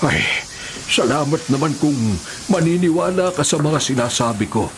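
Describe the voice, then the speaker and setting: A man's voice: a little high, animated, normal speed, slight background noise. An actor playing an elderly, pious male prisoner who preaches earnestly and meekly in a radio drama. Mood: humble, earnest. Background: music.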